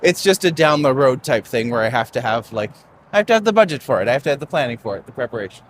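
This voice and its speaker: A man's voice: mid-range voice, very animated, fast, background noise. Young guy tour guide rambling on a live stream, lots of likes and ums, street sounds faint behind. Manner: chatty and rambling, heavy on fillers. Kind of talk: casual live-stream chat on a walking tour. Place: outdoors on a city street.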